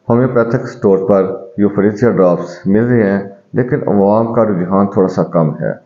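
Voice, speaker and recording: A man who is a homeopathic doctor, calmly explaining home eye remedies to his viewers and addressing them as friends. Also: low-pitched. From Pakistan. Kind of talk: health advice video to viewers. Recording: dull, echoey room recording.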